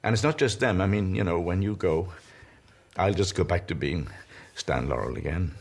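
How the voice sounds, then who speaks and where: Deep-voiced, halting old comedian. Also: male voice, vocal fry. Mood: wistful. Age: older. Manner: halting, reflective, tender. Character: elderly comedian grieving beside his dying partner. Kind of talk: intimate television drama monologue.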